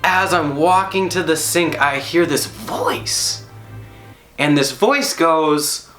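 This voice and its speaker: A man telling a personal story to an audience, animated and emphatic, laughing as he talks. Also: fairly high pitch, slow pace, soft background music. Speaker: storyteller on stage. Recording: roomy hall echo.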